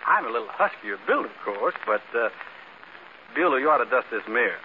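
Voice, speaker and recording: A man's voice: medium pitch, big pitch swings, fairly quick, close to an everyday voice. A man playing a vain, bashfully pleased household boss, hemming along in 1940s radio comedy. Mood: flattered, bashful. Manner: hemming, self-pleased, chuckling. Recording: tinny, noisy 1940s radio recording.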